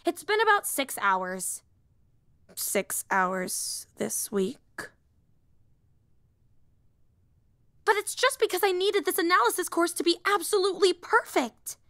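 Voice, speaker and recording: A woman voicing an excitable, nerdy young female superhero in an audio roleplay, earnest and rambling, often apologizing and interrupting herself. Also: high voice, no room sound, clean studio sound. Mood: stressed, tired. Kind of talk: roleplay audio drama acting.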